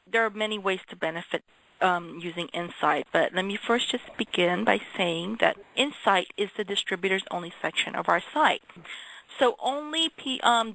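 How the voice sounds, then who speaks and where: Bright, crisp-edged woman giving a briefing. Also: mid-range voice, no room sound, rough recording. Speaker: company representative. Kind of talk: informational question-and-answer briefing.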